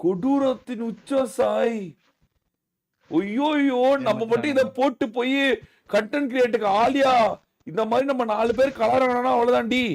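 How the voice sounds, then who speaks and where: A man chatting excitedly on a game livestream, exclaiming and repeating his phrases. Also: extremely high pitch, moderate pace. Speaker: live streamer.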